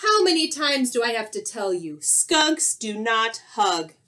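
A woman's voice: on the high side, sing-song, slow pace, pushed and bright, exaggerated voice. A woman voicing a grumpy, scheming male skunk in a children's storybook, with a huffy, growling, exclamatory manner. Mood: indignant. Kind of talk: children's storybook read-aloud.

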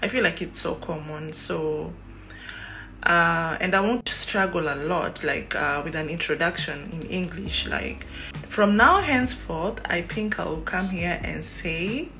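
A young woman with a Kenyan accent vlogs to a phone at arm's length in a small room. Her voice sits fairly low, and she chats loosely with plenty of fillers and restarts. Faint music plays behind her. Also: measured pace, low-quality recording. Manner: chatty, rambling, lots of fillers and animated hand gestures. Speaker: YouTuber. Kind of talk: casual vlog chat to camera.

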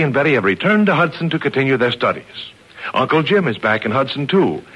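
A man's voice: mid-range voice, bright, forceful tone, slightly rough. A man announcing and narrating in 1940s radio style, with chummy, emphatic scripted patter. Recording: dull old radio recording.

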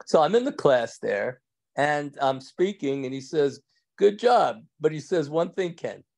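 Older rabbi with a thick New York accent, gravelly, chatty, on a home video call. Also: male voice, a little high, big pitch swings, a little slow, a little mellow. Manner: rambling, joking, laughs often, many restarts. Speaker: rabbi teaching an evening class. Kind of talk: casual chat with class members. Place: indoors at home. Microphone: video call from a phone or webcam.

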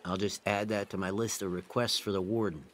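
A man's voice: on the low side, fairly even intonation, a little fast, a little hiss or hum, lightly acted. A man playing a jaded, sullen jailed drug addict in a radio drama. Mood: sullen, sarcastic.

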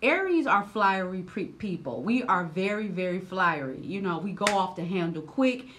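A woman in her thirties doing casual, slangy reaction commentary, loud and expressive, her voice swinging high when riled, recorded seated indoors on a camera. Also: moderate pace, noisy background. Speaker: reaction vlogger.

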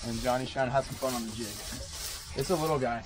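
A young man hosting a fishing vlog, chatting excitedly to viewers as he fights fish on a small boat at sea, recorded on an action camera. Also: mid-range voice, brisk. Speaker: fishing channel host. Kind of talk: casual fishing vlog chat. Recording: wind and boat noise outdoors.

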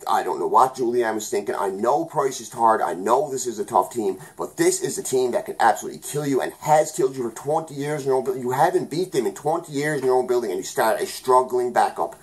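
A man's voice: medium pitch, darkish tone, low-quality recording. A young man from Newfoundland giving a rambling, heated post-game hockey recap at home, often correcting himself mid-sentence. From Newfoundland, Canada. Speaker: hockey vlogger. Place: small room at home.

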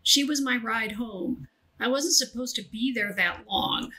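Woman acting as a streetwise young woman in an audiobook, higher bright voice, offhand and brash. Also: a little slow, poor audio. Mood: casual, coaxing. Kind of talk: audiobook character dialogue.

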